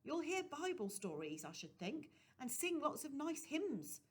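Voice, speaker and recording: A woman voicing a plump, panting young working girl who talks in a breathy, earnest rush. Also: high-pitched, very animated, a little slow, pitched above the performer's own voice. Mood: earnest, urging. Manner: breathy, wheezing, chatty.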